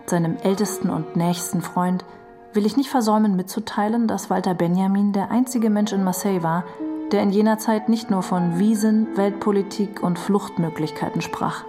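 A woman's voice: mid-range voice, fairly even intonation, normal speed, mellow, soft background music, lightly acted. A woman giving a scripted literary reading of a personal recollection for a radio feature. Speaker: reader of a literary memoir.

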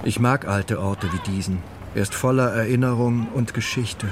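A man's voice: medium pitch, fairly even intonation, moderate pace, noisy background. A man delivering a scripted voice-over translation of someone else's words.

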